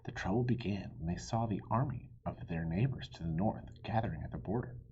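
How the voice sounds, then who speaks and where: A man reading a storybook aloud for children in an expressive teacherly way. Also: low-pitched, breathy edge, muffled recording. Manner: animated, pauses to quiz listeners.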